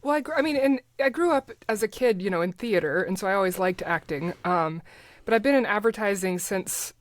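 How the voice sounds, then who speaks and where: A woman's voice: medium pitch, lively intonation, a little fast, no room sound. An American woman chatting casually as an interview guest, thinking aloud as she explains things informally. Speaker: podcast guest, voice actor and advertising project manager.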